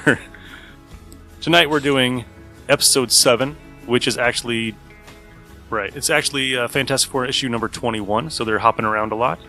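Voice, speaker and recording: A man's voice: medium pitch, pushed and bright. A man hosting a podcast, introducing an old radio play in a loose, joking way. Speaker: podcast host.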